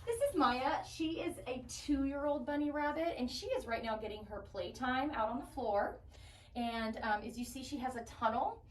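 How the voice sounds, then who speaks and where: Woman shelter guide, high voice, eager and simple explanations for young audiences, recorded at a distance with light background noise. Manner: enthusiastic, simple child-directed explaining. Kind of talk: educational virtual tour for children. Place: indoor animal shelter rooms.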